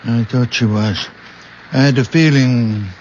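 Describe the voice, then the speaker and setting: Very old man played by an actor, gentle and absent-minded, unhurried halting delivery, muffled vintage radio drama with hiss. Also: medium pitch, steady tone, close to an everyday voice. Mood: mild, absent-minded. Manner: halting, murmuring, tender. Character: very old widowed father, gentle, absent-minded, tenderly grieving. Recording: dull, noisy old radio broadcast.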